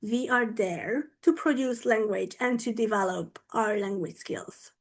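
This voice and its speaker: A woman whose first language is Hungarian, giving an online conference talk about her language teaching. She speaks deliberately and often checks in with her listeners. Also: medium pitch.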